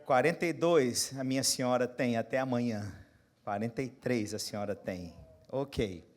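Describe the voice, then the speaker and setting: A Brazilian pastor in his forties preaching a sermon, moving from quiet, close asides to loud, rising exhortation and putting questions straight to his congregation. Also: medium pitch, moderate pace. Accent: Brazilian Portuguese. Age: 40s. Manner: talks straight to the congregation, asking them questions.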